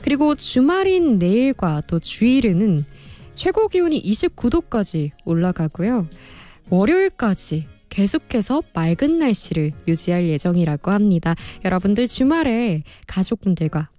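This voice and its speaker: A woman hosting a radio music show, reading listener messages in a polite, expressive presenter style. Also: medium pitch, moderate pace. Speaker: radio host and producer. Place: radio studio.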